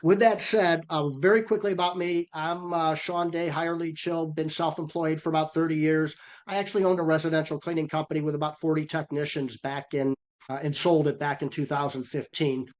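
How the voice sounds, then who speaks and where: A middle-aged man presenting a webinar on a video call, a bit hoarse from a cold, with a folksy, energetic sales-coach delivery. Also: on the high side, fairly quick. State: losing his voice from a cold.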